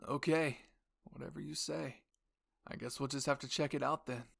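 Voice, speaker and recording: Guy doing a cocky hot-headed teen soldier, grumbly and teasing, anime roleplay, bit gravelly. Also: medium pitch, steady tone, normal speed, a bit bright. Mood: amused.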